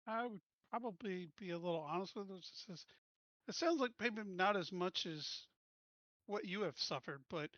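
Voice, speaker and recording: Male player, higher bright voice, casual and hesitant, dull lo-fi mic. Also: normal speed, no room sound. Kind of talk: playing a character at a tabletop game.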